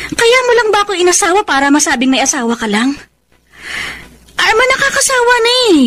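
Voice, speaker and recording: A woman's voice: very high-pitched, fairly bright tone, exaggerated voice. A woman acting a hurt, weary wife and mother in a Filipino radio drama, emotional and reproachful.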